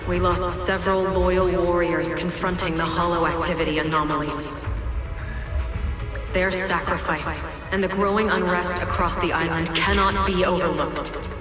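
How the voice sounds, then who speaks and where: A man's voice: high-pitched, steady tone, normal speed, lightly acted. A man playing a grave, dutiful official who speaks formally and earnestly in a video game. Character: grave, dutiful male official addressing an assembly. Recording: very echoey large hall, music behind.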